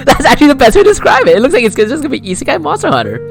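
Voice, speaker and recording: A man hosting a podcast in casual, excitable chat, repeating phrases for emphasis. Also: very high-pitched, racing, gravelly, faint music behind. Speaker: podcast host. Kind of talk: casual, animated panel chat.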